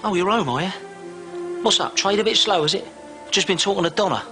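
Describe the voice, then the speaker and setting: An actor playing a sneering, bitter, class-conscious husband in a screen drama, speaking with heavy sarcasm. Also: male voice, sits high, a little fast, music in the background.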